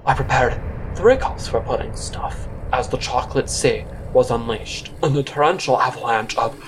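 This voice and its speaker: A man's voice: mid-range voice, moderate pace, noisy background. A man telling a comic first-person story in an audio drama, with a hesitant, theatrical delivery. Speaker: narrator and counter worker character.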